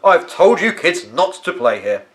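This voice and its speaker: Man acting a big bullying gardener, loud bark, sneering, swooping intonation, storybook villain. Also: fairly high pitch, very animated, measured pace, pitched above the performer's own voice. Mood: gruff warning. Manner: loud barking, taunting.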